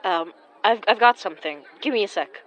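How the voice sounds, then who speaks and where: An actress playing a gentle, anxious young woman who senses haunted objects, speaking haltingly in audio fiction. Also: mid-range voice, close to an everyday voice, low-quality recording. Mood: hesitant, uneasy. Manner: hesitant, halting, stammering. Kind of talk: audio fiction acting with first-person narration.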